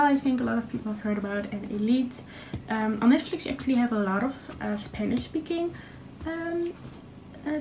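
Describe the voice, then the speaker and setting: A woman's voice: a little high, a little mellow, slight background noise, poor audio. A young woman, a Dutch native speaker, casually sharing study tips in a home vlog with lots of fillers. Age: young adult. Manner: chatty, many fillers. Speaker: student vlogger. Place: small room at home. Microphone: phone camera.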